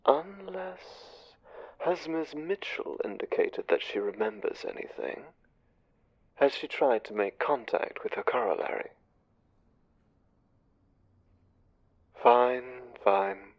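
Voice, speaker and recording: A man playing a smooth, commanding, conniving spymaster angel in an audio drama, speaking with measured, condescending menace. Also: medium pitch, no room sound, highs cut off, natural voice. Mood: cool, imperious. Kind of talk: audio drama roleplay, one side of a conversation.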